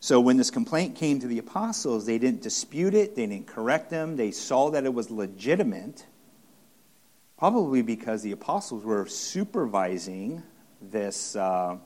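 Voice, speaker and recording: A male pastor teaching through a passage in a sermon. His voice sits at an ordinary middle pitch, and he talks haltingly, restarting phrases and repeating key lines for emphasis. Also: very animated, darkish tone.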